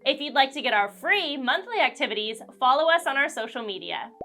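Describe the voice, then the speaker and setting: A woman reading a children's storybook aloud in an expressive way, speaking directly to young listeners. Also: sits high, brisk, faint music behind. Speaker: children's read-aloud host. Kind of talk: animated children's storybook narration.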